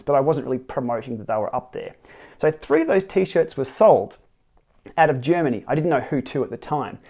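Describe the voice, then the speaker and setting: A middle-aged Australian man in a small home studio, earnestly and wryly explaining his side of a dispute in a partly read, scripted to-camera talk. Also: a little high. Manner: earnest and wry, lively emphatic delivery with dry asides. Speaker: cycling tech YouTuber. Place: small home studio with city backdrop, indoors.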